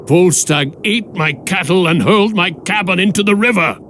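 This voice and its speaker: An actor playing a wary, blunt warrior in a video game cutscene. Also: male voice, high voice, moderate pace, fairly bright tone, a little hiss or hum. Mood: indignant.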